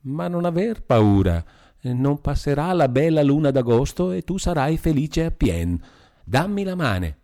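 A man's voice: on the high side, big pitch swings, unhurried, warm tone, voice pushed up. A man voicing a flirtatious female medium with a Veronese accent, speaking in a mystical, coaxing singsong. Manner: sing-song, mystical, coaxing. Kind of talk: audiobook character voice.

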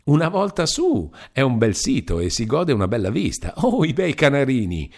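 A man reading aloud and voicing a lively, chattering young widow with a bright, teasing manner. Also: medium pitch, normal speed, pitched above the performer's own voice. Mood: chatty.

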